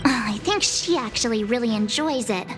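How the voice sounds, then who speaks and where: An actress voicing a perky, cheeky young girl adventurer in a game, bubbly and expressive in delivery. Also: a little high, moderate pace, lightly acted. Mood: teasing. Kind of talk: animated game character dialogue. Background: game background music.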